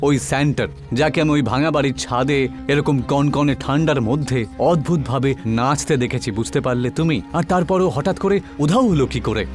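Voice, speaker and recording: A man's voice: medium pitch, moderate pace, faint music behind, lightly acted. A man playing a determined, serious police inspector in a cartoon horror story, speaking in a commanding, insistent way. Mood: troubled, puzzled.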